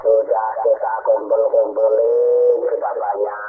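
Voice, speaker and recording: Casting: Indonesian man on a narrow, tinny CB radio, unhurried casual talk, halting, repeating himself. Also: fairly low pitch, little pitch movement, music in the background. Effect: CB radio transmission. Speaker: CB radio collector. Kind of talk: casual two-way radio chat. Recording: narrow treble-heavy CB radio audio.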